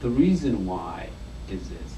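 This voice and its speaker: A dark-toned, lower-voiced man with an Ethiopian accent recalls his life in an interview. His delivery is halting, with repeated words, and he is recorded in an echoey room. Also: big pitch swings. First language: Amharic. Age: middle-aged or older. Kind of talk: halting personal recollection in interview. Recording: echoey room, distant mic, faint noise.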